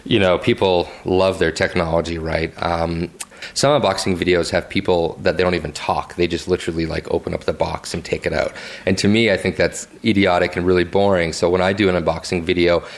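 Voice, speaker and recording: A man with a very low, deep voice talks about his hobby in a loose, conversational way. He fills pauses with "uh" and "you know" and often restarts his sentences. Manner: relaxed, chatty, frequent fillers and restarts. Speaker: tech video maker interviewed as a guest. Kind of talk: casual interview answers. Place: home basement office.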